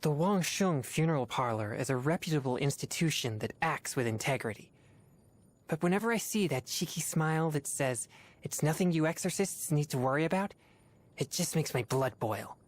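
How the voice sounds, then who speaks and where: A woman voicing an earnest, formal teenage boy exorcist in a video game, speaking in a measured way. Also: low-pitched, expressive. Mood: indignant. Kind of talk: video game character voice lines.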